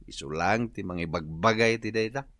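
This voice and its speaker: A man's voice: lowish voice, moderate pace, fairly bright tone, no echo, clean studio sound, lightly acted. A man with an Ilocano accent playing a carefree, lazy, hard-drinking husband in a radio comedy-drama. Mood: carefree, amused.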